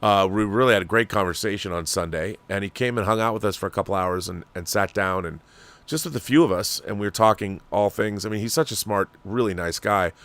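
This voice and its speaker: A man's voice: fairly low pitch, fairly quick, a bit bright, no room sound. A man with an American accent hosting a livestream, talking casually and discursively, giving opinions and addressing viewers. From Washington state, United States. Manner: conversational and discursive, with rhetorical questions. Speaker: livestream host. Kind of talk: casual opinionated commentary, reading and answering viewer messages.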